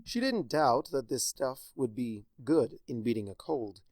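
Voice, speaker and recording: A man's voice: medium pitch, very animated, measured pace, darkish tone, no echo. Wry man narrating a story. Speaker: fanfiction narrator. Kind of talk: dramatic storybook reading.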